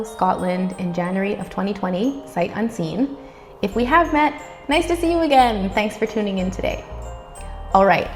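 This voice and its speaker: Chatty woman from the Bahamas. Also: medium pitch. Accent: neutral American-sounding. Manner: chatty, upbeat, lively. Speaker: vlogger. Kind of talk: casual talk to camera. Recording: reverberant room sound.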